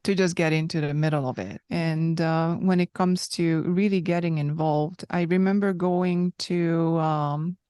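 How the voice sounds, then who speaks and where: Lady in her 30s, low smooth voice, talks slow and thoughtful, pauses a lot, dry close sound. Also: fairly even intonation, warm tone, no echo. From Hungary. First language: Hungarian. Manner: thoughtful and reflective, with frequent pauses. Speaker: guest. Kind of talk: conversational interview storytelling.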